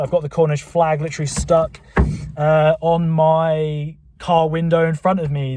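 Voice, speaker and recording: A man's voice: fairly high pitch, a little mellow, no room sound. A man from England with a southern English accent from Buckinghamshire, rambling to the camera from his car, with many fillers and restarts. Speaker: channel host. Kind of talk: rambling casual monologue to camera. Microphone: camera mic.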